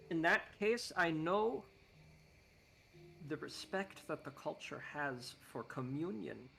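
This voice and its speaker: A courteous, scholarly desert guide, as a man puts him on in a mock Icelandic accent lays out his knowledge in a formal, explanatory way. The voice is bright and forceful. A faint hiss sits under the tabletop game recording. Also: a little high, vocal fry, heavily acted. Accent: put-on Icelandic.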